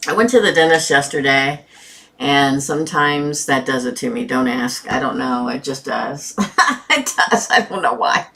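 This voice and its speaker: Bright-voiced woman with a sore throat. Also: extremely low pitch, animated, moderate pace, creaky. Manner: chatty, addresses viewers by name. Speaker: tarot reader hosting a live stream. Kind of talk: casual live-stream chat.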